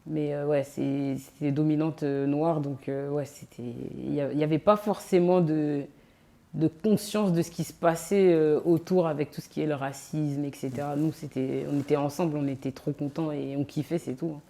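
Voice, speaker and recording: Low, mellow woman in her thirties. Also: normal speed. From France. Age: 30s. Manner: relaxed, hesitant, many fillers. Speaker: guest. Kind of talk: casual interview chat. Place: on location in a sports venue.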